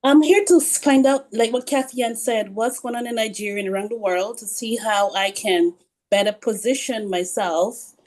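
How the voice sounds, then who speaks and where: A woman's voice: a little high, moderate pace, darkish tone, low-quality recording. A woman from Barbados, a webinar participant, introducing herself politely over a video call.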